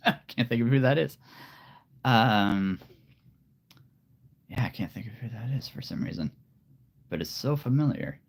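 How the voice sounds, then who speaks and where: A man with a very deep voice chatting casually on a live stream, relaxed and rambling. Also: a little slow, gravelly, long pauses. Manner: relaxed, rambling, laughs often. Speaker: live streamer and hobby voice actor.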